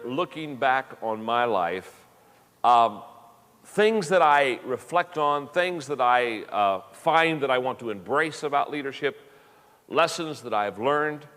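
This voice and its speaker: A man's voice: medium pitch, fairly bright tone, long reverb tail. Folksy man in his fifties teaching. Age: 50s. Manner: folksy, repeats words when starting a thought. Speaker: leadership speaker. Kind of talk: recorded leadership lesson.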